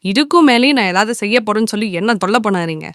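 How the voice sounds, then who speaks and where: A woman voicing an exasperated, nagging, sarcastic wife in an animated moral story. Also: medium pitch, very fast, dry recording, clean studio sound, close to an everyday voice. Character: exasperated, nagging wife scorning her husband's plans.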